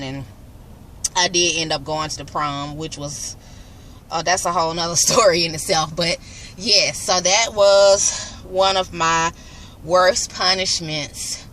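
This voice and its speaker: A woman's voice: fairly low pitch, bright, forceful tone, a little hiss or hum. A young adult woman, chatting casually and rambling to camera in her car on the way home. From Birmingham, Alabama, US. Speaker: vlogger.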